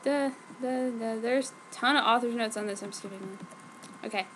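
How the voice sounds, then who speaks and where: A woman's voice: fairly high pitch, normal speed. A woman narrating fan fiction in a theatrical, mock-dramatic reading style. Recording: clearly noisy home recording.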